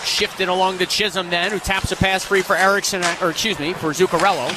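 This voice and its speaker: A man's voice: high-pitched, steady tone, fairly quick, background noise. A man calling live ice hockey on the radio in clipped, vivid running commentary. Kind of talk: live sports play-by-play commentary.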